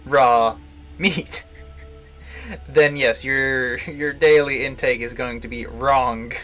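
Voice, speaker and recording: Male game streamer, bright and casual, wry stop-start delivery over faint music. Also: medium pitch. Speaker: streamer playing a visual novel. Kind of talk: casual live playthrough commentary.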